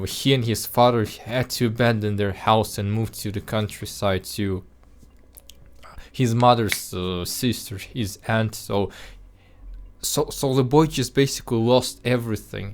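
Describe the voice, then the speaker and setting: A man speaking English as a second language with an accent listeners have taken for Russian, talking through a film review in an earnest way, with frequent restarts and filler words. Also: on the low side. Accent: Russian-sounding non-native English. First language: not English. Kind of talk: casual spoken film review.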